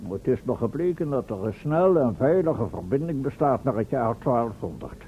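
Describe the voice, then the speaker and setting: An actor playing a pompous, domineering, eccentric professor in a radio play, fussy and commanding. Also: male voice, medium pitch, expressive, normal speed, dark tone, close to an everyday voice. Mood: instructing. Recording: dull old radio recording, faint noise.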